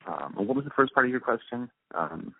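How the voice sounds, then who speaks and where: An older man reflecting in an interview, speaking in a halting, thoughtful way with pauses mid-sentence. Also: mid-range voice, lively intonation, breathy edge, dry recording. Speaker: radio drama sound designer and producer being interviewed. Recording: muffled phone-like narrowband line.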